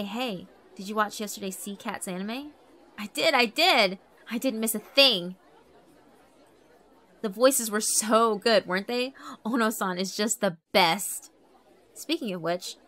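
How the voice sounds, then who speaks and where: A woman voicing an excitable, chatty schoolgirl in a visual novel, in a perky, eager manner. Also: medium pitch, unhurried, a faint crowd. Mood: excited. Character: excitable, chatty schoolgirl friends, anime fans. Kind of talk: visual novel character acting. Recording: background music under the voice.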